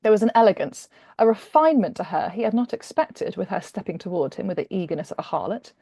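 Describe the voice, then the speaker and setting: Female author-narrator, expressive romance reading, slightly gravelly mellow tone, dry close sound. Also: medium pitch, fast talker, no room sound. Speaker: author reading from own novel. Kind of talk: expressive audiobook-style narration of a humorous romance.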